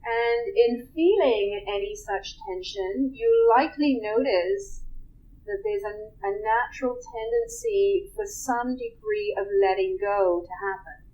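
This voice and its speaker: A woman guides a relaxation meditation in a soft, unhurried voice that sits a little high. She speaks very slowly and leaves long pauses between phrases, letting each instruction settle before moving on. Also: expressive, highs cut off.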